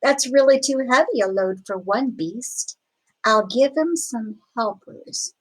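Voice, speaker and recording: A woman reading a children's picture book aloud expressively, with deliberate, dramatic phrasing. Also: a little high, animated, fairly bright tone, poor audio. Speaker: storybook reader.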